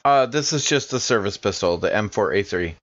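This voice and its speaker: A man's voice: on the low side, expressive, a little fast, a bit bright, dry recording, lo-fi sound. A man playing in a tabletop roleplaying game, talking casually at the table.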